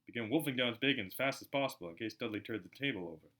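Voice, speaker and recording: A man reading aloud as an amateur narrator, expressive and storybook-like, with a chatty side. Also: medium pitch, very fast. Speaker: amateur audiobook reader.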